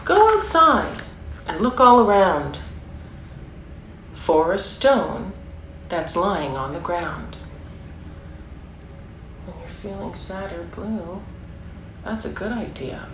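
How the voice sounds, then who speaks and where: A woman's voice: medium pitch, sing-song, takes it slowly, pauses often. A woman reading a picture book aloud for young children, with encouraging, chatty asides and questions to her listeners. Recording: roomy echo, clear background noise.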